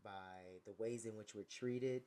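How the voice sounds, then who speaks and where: A man's voice: on the low side, unhurried, warm tone. A man thinking aloud in a reflective personal monologue, rambling and often restarting as he searches for words. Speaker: vlogger.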